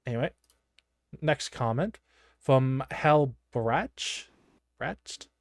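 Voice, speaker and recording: A man's voice: medium pitch, lively intonation, very slow, no echo. Chatty man in casual podcast talk, dry sound. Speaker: podcast co-host. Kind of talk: casual discussion of a horror anime episode.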